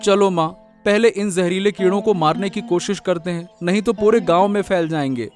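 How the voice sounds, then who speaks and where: A man's voice: high-pitched, fairly quick, darkish tone, faint music behind, lightly acted. A man voicing a humble, naive, dejected young vegetable seller in a moral-story cartoon. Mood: puzzled, worried.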